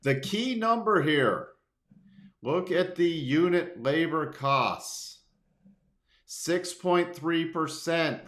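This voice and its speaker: A man hosts a live financial stream in a casual, conversational way. He takes his time, with a mid-pitched voice, and repeats phrases to stress them. The sound is roomy and echoing. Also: a bit bright. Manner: conversational, greets viewers, repeats key phrases for emphasis. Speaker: livestream host, market analyst.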